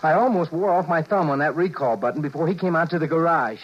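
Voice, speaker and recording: A man's voice: on the high side, quick pace, close to an everyday voice. An American man playing a flustered, sheepish suburban husband who narrates and stammers through his misadventure in 1950s radio drama style. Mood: rueful narration. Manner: stammering when flustered, exclaiming. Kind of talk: 1950s radio drama acting with wry first-person narration. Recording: dull 1950s radio recording with music.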